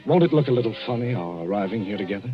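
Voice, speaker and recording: An actor playing an earnest village doctor in an old radio drama, polite, halting and confiding. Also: male voice, on the low side, expressive, over music, natural voice. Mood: awkward, earnest. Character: village doctor, awkwardly earnest secret admirer. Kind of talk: 1940s radio drama acting. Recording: muffled old radio recording.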